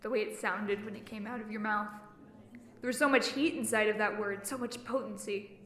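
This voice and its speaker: A woman's voice: mid-range voice, steady tone, fairly quick, fairly bright tone, roomy sound, close to an everyday voice. Woman acting a wounded, bitterly defiant woman. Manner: brooding, emphatic. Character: wounded, defiant woman nursing an old insult. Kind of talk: intense dramatic monologue. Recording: music bed behind voice.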